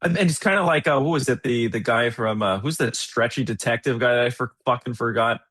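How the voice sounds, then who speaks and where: A man's voice: medium pitch, a little fast, fairly bright tone, dry recording, studio quality. A man co-hosting a movie podcast, talking casually and thinking aloud in slangy, conversational phrases. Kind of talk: casual chat, film discussion.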